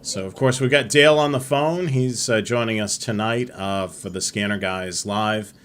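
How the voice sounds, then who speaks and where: A middle-aged man hosting a live-streamed hobby show, chatting in a relaxed, rambling way with many fillers. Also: on the low side, normal speed, slight background noise. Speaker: host.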